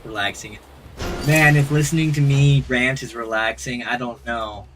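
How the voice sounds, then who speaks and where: A man's voice: medium pitch, fairly even intonation, moderate pace, noisy background. A male gaming streamer, chatting and ranting casually to viewers in a rambling, droning way with many restarts. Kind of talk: casual livestream chat. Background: clear background music.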